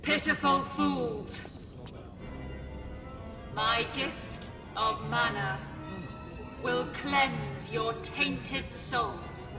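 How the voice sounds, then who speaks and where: An actress playing a cold, imperious, pitiless supernatural ruler in a dramatic fantasy game. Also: female voice, on the high side, drawn-out words, long pauses. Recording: echoey hall reverb, distant, music behind.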